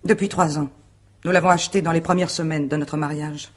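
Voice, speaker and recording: An actress playing a proud, guarded wife under suspicion in a radio mystery drama, answering formally and with composure. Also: female voice, low-pitched, steady tone, a little fast. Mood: guarded, factual. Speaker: actor in a radio mystery play.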